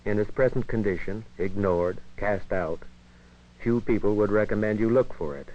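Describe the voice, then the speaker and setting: Dull old film-soundtrack sound, American man, lowish voice, formal measured educational narration, 1950s style. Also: dark tone. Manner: measured, formal, literary phrasing.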